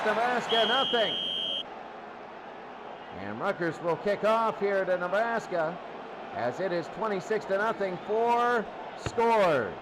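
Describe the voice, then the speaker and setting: A man doing informal live play-by-play football commentary, with muttered asides to himself. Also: sits high. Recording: clearly noisy game broadcast.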